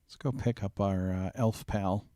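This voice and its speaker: A man's voice: sits low, unhurried, dark tone, a little hiss or hum. A man casually commenting as he plays a game, giving short spoken commands. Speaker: player hosting a game playthrough.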